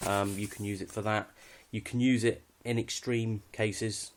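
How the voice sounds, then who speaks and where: A man's voice: lowish voice, little pitch movement, measured pace, a little hiss or hum. A British man giving a casual, unscripted walkthrough of his kit in a matter-of-fact, slightly hesitant way. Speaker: hobbyist presenter showing radio kit.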